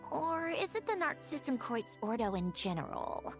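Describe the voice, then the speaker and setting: A woman voicing a determined, businesslike young woman in a video game, expressive and purposeful. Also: high-pitched, moderate pace, faint music behind. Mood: intent.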